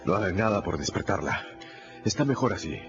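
A scheming, hard-drinking English lawyer for a radio drama. The voice is very deep and swings widely in pitch as he urges and confides. Music sits behind the slightly dull recording. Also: lively intonation, brisk. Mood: hushed concern. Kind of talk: Spanish-language radio drama acting.

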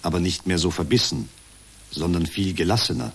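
Therapy counsellor, low husky male voice, detached, measured, stock-phrase delivery, radio play. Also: full character voice. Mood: unperturbed. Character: unflappable therapy counsellor machine parroting stock empathy phrases. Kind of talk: satirical radio drama acting.

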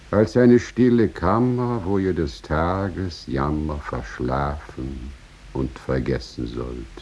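A man reciting poetry in a solemn, dramatic style, measured, with drawn-out pauses and swelling emphasis. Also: sits low, warm tone, breathy edge, dull audio.

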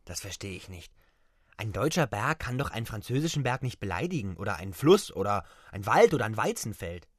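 An actor playing a simple, stubborn young soldier in a radio play, blunt, naive and indignant. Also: male voice, lowish voice, big pitch swings, brisk, husky, no room sound, clean studio sound. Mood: puzzled.

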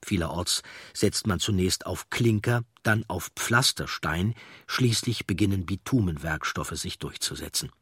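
A man reading scripted documentary narration with measured, storytelling delivery and a husky voice. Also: low voice, a bit bright, no room sound, studio quality.

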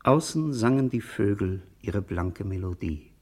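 Radio drama narrator: low, husky, contemplative, lyrical. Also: male voice, expressive, a little slow, darkish tone. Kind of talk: literary radio play narration.

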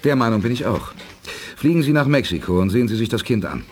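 An actor playing a calm, decisive space commander in a radio play, confident and conversational. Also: male voice, medium pitch, quick pace, lightly acted. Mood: curious, decisive. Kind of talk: 1980s German radio play acting. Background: music.

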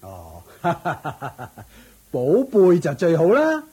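A man's voice: a little high, huge pitch swings, very slow, mellow, slightly rough, heavily acted. An actor playing a greedy, scheming, impatient father and lord in a Cantonese radio drama, exclamatory and wheedling, with a Hong Kong Cantonese accent. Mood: eager, greedy.

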